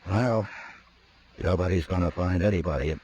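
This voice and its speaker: An actor voicing a deep-voiced steady frontier marshal. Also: male voice, close to an everyday voice. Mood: wary. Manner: calm, measured, deliberate. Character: steady, level-headed frontier marshal, grown man. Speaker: lawman lead and narrator. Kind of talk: 1950s radio drama acting. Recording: muffled old radio recording with faint hiss.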